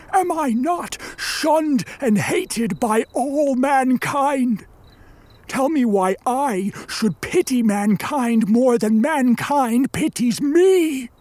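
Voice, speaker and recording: An actor in a radio play voicing an embittered, vengeful created monster, with a husky voice and grandiose, formal delivery. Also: medium pitch, animated, darkish tone, no echo. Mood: bitter, demanding. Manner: grandiose, theatrical, deliberate delivery. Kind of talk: radio play acting, formal archaic speech.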